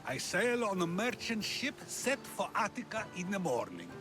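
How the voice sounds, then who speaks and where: A calm, wise old philosopher. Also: male voice, sits high, normal speed, over music. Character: calm older male philosopher.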